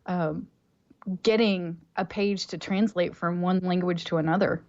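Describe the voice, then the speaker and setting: A woman's voice: on the low side, steady tone, unhurried, a little mellow, dull audio. A woman co-hosting a casual interview, chatty and steering the talk along. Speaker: co-host.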